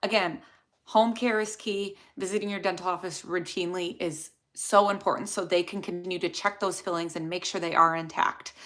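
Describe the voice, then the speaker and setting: Bright-voiced chatty woman with a Chicago accent. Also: fairly low pitch, fairly even intonation, moderate pace. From Chicago area. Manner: chatty, rambling, answers questions from chat. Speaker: dental hygienist, host. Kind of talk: livestream Q&A, answering chat.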